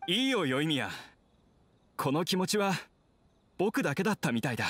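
A man playing a gentle, wistful young swordsman in a Japanese anime game. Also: fairly high pitch, very animated, moderate pace. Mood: resigned.

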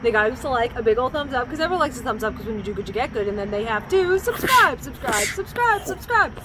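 Woman, thirties, playful record store co-host, higher pitch, casual delivery with repeated words, faint handheld-camera noise. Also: very animated, fast. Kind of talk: casual vlog chat, listing album names. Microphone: handheld camera mic at short distance.

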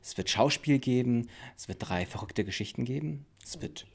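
Male presenter, thirties. Casual promo chat, mid pitch, animated melody. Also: normal speed, vocal fry, slightly rough. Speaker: presenter, writer and actor. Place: living room, indoors.

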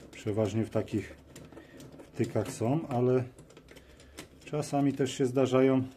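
Man muttering casually over noisy workbench. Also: mid-range voice. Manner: informal, muttering to himself in short phrases. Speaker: video host. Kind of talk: casual running commentary while working. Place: cluttered workshop bench, indoors. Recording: clear background noise with clicks. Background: noise and clicks of tools and parts.

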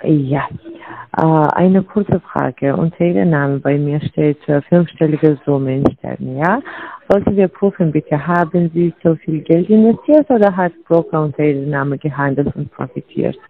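A woman's voice: sits low, normal speed. Scam caller woman on tinny phone line. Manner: broken German, repeats scripted lines.

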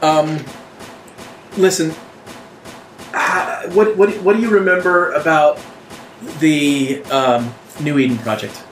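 A man playing an urgent, evasive guide in a tabletop game, explaining things in halting bursts. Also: on the high side, a little hiss or hum. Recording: echoey room.

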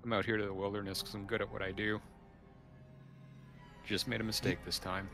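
A man playing in a tabletop game, speaking in a dry, deadpan way. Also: on the low side, fairly quick, vocal fry, over music. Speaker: player.

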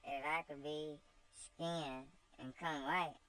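A woman's voice: low-pitched, steady tone, takes it slowly, fairly bright tone, pitched above the performer's own voice, low-quality recording. A woman doing a blunt, mischievous young girl with broad dialect. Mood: blunt, defiant.

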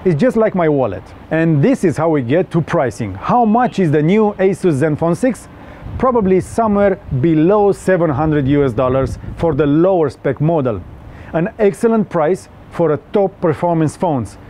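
Romanian guy doing a phone review, kinda high voice but mellow, a bit of street noise behind. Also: expressive, moderate pace. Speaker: tech reviewer.

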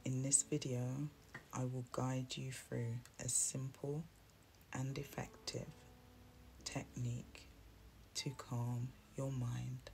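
A soothing, hushed voice guiding a relaxation meditation with deliberate, long pauses between phrases. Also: medium pitch, level tone, gravelly. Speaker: meditation guide. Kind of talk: guided meditation, scripted narration. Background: soft instrumental music.

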